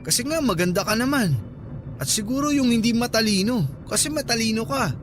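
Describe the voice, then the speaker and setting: A man's voice: very high-pitched, animated, brisk. An actor playing an earnest, flirty coworker smitten with his friend in a Filipino radio drama, coaxing and soothing. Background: background music.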